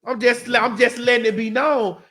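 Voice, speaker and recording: A talk-show host ranting in heated, profane, emphatic casual talk. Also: female voice, fairly high pitch, steady tone, normal speed, a bit bright.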